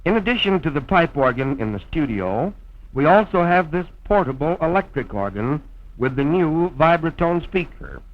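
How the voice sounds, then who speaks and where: An American man narrating a scripted promotional film in a formal, measured old-time radio announcer's style, on a vintage soundtrack. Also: fairly high pitch, big pitch swings, lo-fi sound. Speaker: radio program host and narrator.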